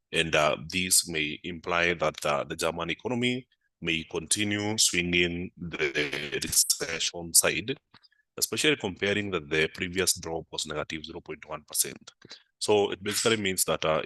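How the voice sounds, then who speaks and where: A man's voice: low voice, sharp tone, no echo. A man hosting a live market analysis stream, his voice a little off from a flu, talking unhurriedly with many "uh" fillers. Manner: unhurried, with frequent "uh" fillers, answering live comments. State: voice affected by a flu.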